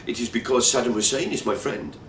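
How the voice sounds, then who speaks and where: A man's voice: a little fast, fairly bright tone, close to an everyday voice. A man voicing a mischievous male translator, emphatic and teasing in his arguments, as part of a comic travel reading. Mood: mock outrage. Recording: distant roomy live recording, noisy rumble.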